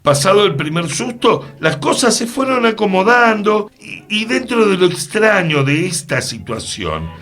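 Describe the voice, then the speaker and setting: An actor playing an earnest, formal radio co-host bewildered by magic in a scripted radio play. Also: male voice, sits high, big pitch swings, unhurried, hardly any pauses, fairly bright tone, exaggerated voice. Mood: reasoning. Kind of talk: scripted radio theatre dialogue.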